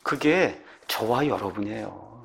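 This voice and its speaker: A man's voice: lowish voice, measured pace, creaky, a bit bright. A man preaching a sermon, asking the congregation questions and acting out the lines he quotes. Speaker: pastor.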